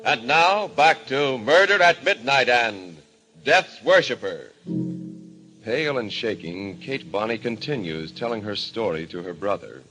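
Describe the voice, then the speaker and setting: A man acting as the grave narrator of an old radio horror show, solemn, deliberate and portentous. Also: mid-range voice, lively intonation, sharp tone, poor audio. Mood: announcing. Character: grave, ominous male narrator of horror mystery. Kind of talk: golden age radio narration. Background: dramatic music.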